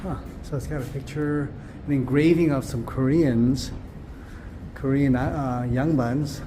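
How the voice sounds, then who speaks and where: A man vlogs as he strolls busy city streets. He muses aloud in a loose, rambling way, with plenty of fillers, in an unremarkable mid-range voice. The handheld camera mic picks up clear traffic and street noise behind him. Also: medium pitch, steady tone, unhurried. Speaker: travel vlogger. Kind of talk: casual walking-tour commentary. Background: passing traffic.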